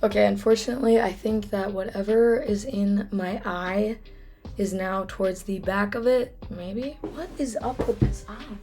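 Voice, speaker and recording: Hoarse young woman telling a true crime case. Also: mid-range voice, unhurried, a little mellow. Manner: chatty, apologetic asides. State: hoarse, froggy voice. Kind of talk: casual true crime storytelling.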